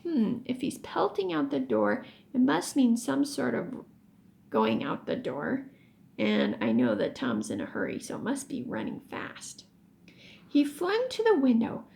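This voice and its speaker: A woman reading a children's book aloud expressively, as a teacher would, pausing to explain words. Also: high voice, gravelly. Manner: animated storytelling, pauses to explain words.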